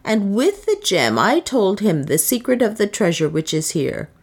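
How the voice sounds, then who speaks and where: A woman's voice: medium pitch, very animated, normal speed, slightly rough, pitched above the performer's own voice. A woman voicing a proud, bitter serving woman in an audiobook, with solemn, archaic, declamatory phrasing. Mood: bitter, reproachful.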